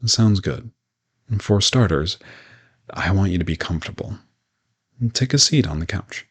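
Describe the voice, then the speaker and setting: A man playing a polite, reassuring modern vampire in a roleplay audio drama, talking gently and matter-of-factly to the listener. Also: deep voice, expressive, measured pace, slightly rough, full character voice. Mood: calm, reassuring.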